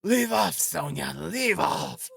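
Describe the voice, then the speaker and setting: Man acting a frantic woman, shrill and high. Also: slow pace, creaky, no echo, studio quality, full character voice. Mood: frantic, defiant. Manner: shrill shouting, pleading, scolding. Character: frantic proud consumptive mother, ragged and hysterical.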